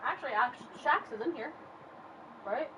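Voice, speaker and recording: A woman's voice: high voice, lively intonation, normal speed, bright, forceful tone, slight background noise, low-quality recording. A woman chiming in casually with short, teasing remarks from the side. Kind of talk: casual chat.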